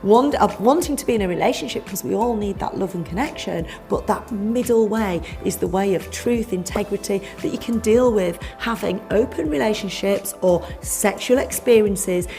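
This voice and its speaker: A woman's voice: medium pitch, moderate pace, hardly any pauses, mellow, faint music behind. A woman speaking as a relationship coach, explaining informally and addressing the listener directly in an emphatic, conversational way. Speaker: relationship coach promoting a seminar. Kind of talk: informal explanatory promotional talk.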